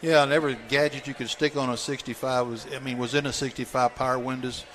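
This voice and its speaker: Even-toned man chatting casually. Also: mid-range voice, quick pace, poor audio. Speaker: guest car owner. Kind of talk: casual chat.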